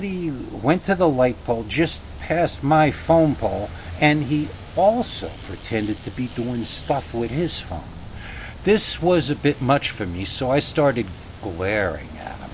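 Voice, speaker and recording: A man reading a first-person scary story in a conversational way, with dramatic pauses. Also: on the low side, a little hiss or hum, rough recording. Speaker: horror story reader.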